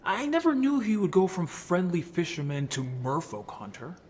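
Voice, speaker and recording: A man's voice: high-pitched, sing-song, moderate pace, a little mellow, a little hiss or hum. A man playing an earnest, sensitive merman in an audio drama, hesitant and emotional in delivery. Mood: earnest, concerned. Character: earnest, sensitive merman haunted by childhood friendship.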